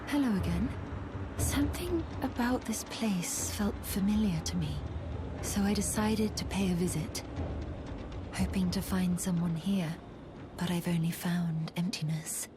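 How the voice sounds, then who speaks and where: An actress playing a frail, solemn young swordswoman in a fantasy game, speaking softly and gravely in formal, old-fashioned phrases, often half-whispered. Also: fairly low pitch, a little slow, background noise, lightly acted. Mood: wistful, resolute. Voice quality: soft, breathy, half-whispered. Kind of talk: scripted fantasy game acting.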